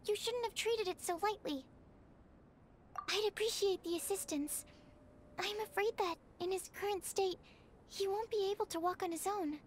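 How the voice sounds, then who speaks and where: A woman's voice: very high voice, moderate pace, pauses often, a little hiss or hum. A woman playing a shy, earnest young female alchemist in a video game, polite and easily flustered, with hesitant speech. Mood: polite, concerned.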